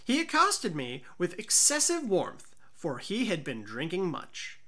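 A woman reading a classic tale as dramatic audiobook narration, theatrical and deliberate. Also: fairly low pitch, huge pitch swings, a bit bright. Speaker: amateur story reader.

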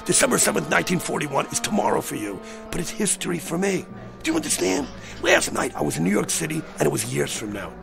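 An American actor playing an agitated, cynical man who insists he has seen disaster coming, speaking in a blunt, slangy, pleading way with a gravelly voice. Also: on the high side, huge pitch swings, quick pace, music in the background, heavily acted. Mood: urgent, grave. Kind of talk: dramatic screen acting.

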